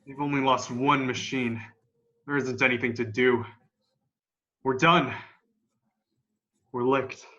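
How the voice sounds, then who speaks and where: A young man playing a grim, defiant militia survivor of an alien invasion in an amateur radio play, with a folksy, halting, emphatic delivery. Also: mid-range voice, steady tone, sharp tone. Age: young adult. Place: room at home. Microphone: video call. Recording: dull, lo-fi video call.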